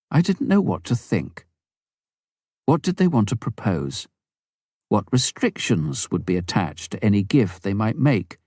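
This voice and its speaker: A man reading a memoir aloud as scripted narration, with measured, expressive storytelling delivery. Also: lowish voice, no echo, clean studio sound. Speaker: audiobook narrator.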